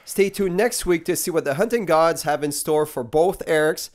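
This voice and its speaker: A man's voice: fairly high pitch, moderate pace. A man narrating a hunting show sign-off in an upbeat announcer way.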